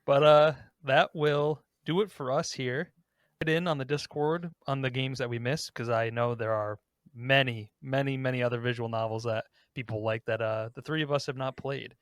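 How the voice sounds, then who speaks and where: A man's voice: mid-range voice, fairly even intonation, normal speed, dry recording, studio quality. A man hosting a casual podcast, chatting conversationally about games. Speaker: podcast host.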